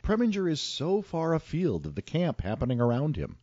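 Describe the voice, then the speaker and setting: Male podcast host, wry scripted delivery, wide-swinging pitch, dry slightly muffled sound. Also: wildly swooping pitch, brisk, a little mellow, dry recording. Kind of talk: reading a prepared segment.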